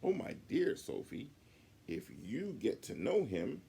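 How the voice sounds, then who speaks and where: A man's voice: mid-range voice, sing-song, measured pace, creaky, mellow, slightly rough, voice pushed up, full character voice. A man voicing a motherly, encouraging female owl schoolteacher in a storybook. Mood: reassuring. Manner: kindly, reassuring.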